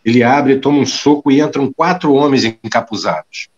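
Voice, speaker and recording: A man's voice: mid-range voice, moderate pace, lo-fi sound. A man narrating a scripted audio drama, reading out the scene action. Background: clear music.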